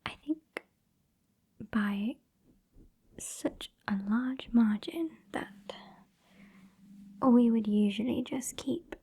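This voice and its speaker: A woman's voice: on the high side, extremely slow, long pauses, exaggerated voice. A woman playing a haughty, sassy female vampire with a British accent in a whispered ASMR roleplay, condescending and exasperated. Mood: exasperated scorn. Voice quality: whispery, breathy. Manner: condescending, exasperated, softly scolding. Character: sassy, haughty old female vampire scolding her fledgling.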